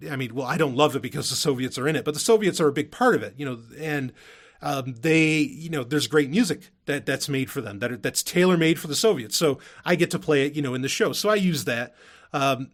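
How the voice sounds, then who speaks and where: A man's voice: on the high side, a bit bright. A man hosting a podcast in a casual, unscripted monologue, often interrupting himself and drifting into asides. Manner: rambling, self-interrupting, with frequent hedging asides. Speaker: podcast host.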